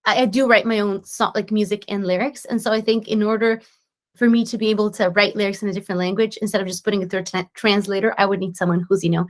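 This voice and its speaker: A woman's voice: medium pitch, fairly even intonation, quick pace. A woman in her twenties from Slovenia, chatting as a guest in a casual interview, bubbly with many fillers and restarts. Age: 20s. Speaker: guest singer-songwriter.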